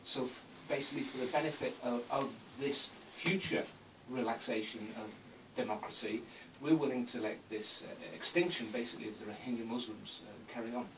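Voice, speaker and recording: A man's voice: medium pitch, brisk, hardly any pauses. A man speaking as a radio interview guest, explaining emphatically and repeating phrases as he goes. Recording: distant mic, clearly noisy background.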